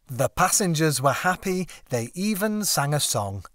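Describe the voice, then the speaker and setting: Guy reading a kids picture book, slow n careful, really animated pitch, dry recording. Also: dry recording. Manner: expressive, deliberate phrasing. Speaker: audiobook narrator. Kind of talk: scripted children's story narration.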